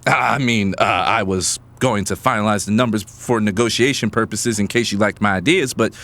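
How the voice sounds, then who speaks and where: A man's voice: lowish voice, moderate pace, a bit bright. An actor playing an earnest, affectionate businessman fiancé, eager and slightly bashful, in an audio drama.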